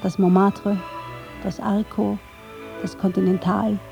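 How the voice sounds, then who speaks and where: A woman reading scripted documentary narration in a measured, factual storytelling manner. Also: medium pitch, level tone, gravelly, dark tone, faint music behind. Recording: slightly dull, band-limited sound.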